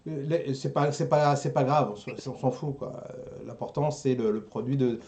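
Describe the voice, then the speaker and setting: A man chatting casually on a panel, often halting and repeating words as he searches for them. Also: medium pitch, crowd noise. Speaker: panelist on an anime talk show. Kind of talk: casual chat.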